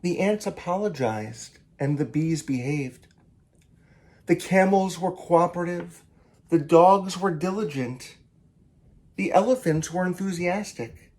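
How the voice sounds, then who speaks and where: A man, a children's author, reading a picture book aloud in an animated, theatrical and emphatic way. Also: sits high, a little slow. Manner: theatrical, emphatic, with varied delivery.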